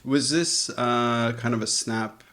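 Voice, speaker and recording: A man's voice: mid-range voice, slow pace, pushed and bright. A man hosting an interview in a guest's home, talking casually with playful teasing and frequent laughter. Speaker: podcast host.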